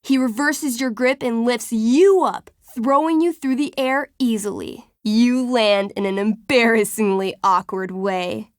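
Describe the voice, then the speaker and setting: A woman's voice: on the high side, a little slow, hardly any pauses, no echo, clean studio sound, lightly acted. A woman playing a friendly, nerdy teenage girl in a video game, casual and playful, with a game master's flair for drama. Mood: playful, theatrical. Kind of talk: naturalistic video game voice acting.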